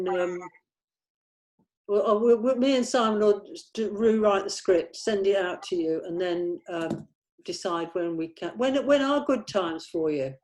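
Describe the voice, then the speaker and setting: A woman in a casual planning chat about a script. She speaks in a halting, tentative way at a middling pitch, like someone organising the group as she thinks aloud. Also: a little mellow, poor audio. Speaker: writer organising a script table read.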